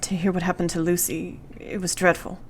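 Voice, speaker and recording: An actress playing an earnest, tender young Victorian wife in a radio play, with formal period diction. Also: female voice, lowish voice, little pitch movement, a little fast, noisy background, lightly acted. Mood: weary, troubled.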